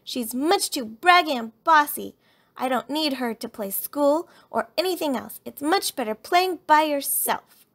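Woman voicing an indignant, high-pitched little bear cub girl. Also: measured pace, hardly any pauses, fairly bright tone. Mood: angry. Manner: emphatic, protesting childish delivery.

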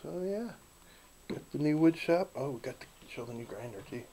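A man giving a relaxed, folksy walk-through of a small backyard wooden workshop, filming on a handheld camera. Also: fairly high pitch, normal speed, low-quality recording. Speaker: channel host filming a shop tour. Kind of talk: casual narrated shop tour.

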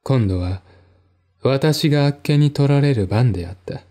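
落ち着いた暗めの声の男性朗読者. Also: male voice, mid-range voice, lively intonation, normal speed, mellow. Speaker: narrator of a mystery audiobook. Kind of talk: dramatic first-person literary narration. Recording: music behind the voice.

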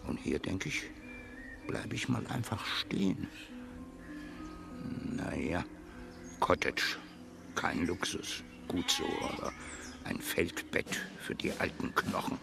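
Gravelly, slow old soldier. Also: male voice, low-pitched, big pitch swings, over music, heavily acted. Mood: grumbling, musing. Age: older. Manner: muttering in clipped military fragments. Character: gruff, rambling old male soldier grumbling to himself. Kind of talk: German radio play acting.

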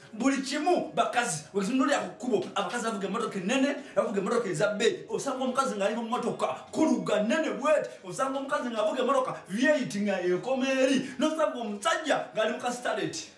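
A Ugandan man performs a comic monologue at a very quick pace, exclaiming and repeating phrases for emphasis. He is picked up from a distance in a roomy, echoing studio, with some music behind him. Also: extremely high pitch, animated. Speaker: online comedy show host. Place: small indoor studio with hard walls. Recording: echoey room, distant microphone, music.

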